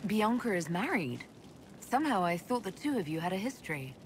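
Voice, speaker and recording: A woman's voice: mid-range voice, big pitch swings, fairly quick, slight background noise, natural voice. A woman playing a composed Inquisitor in a video game, asking direct questions and offering reassurance. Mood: curious. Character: composed, probing female fantasy leader and Inquisitor.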